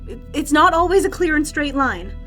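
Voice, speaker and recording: Audio drama commentator played by a woman: high-pitched, cheeky, defensive, dodges with flippancy, music bed. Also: animated, moderate pace, bright, forceful tone. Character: cheeky, evasive female announcer of underground fights. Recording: music under the voice.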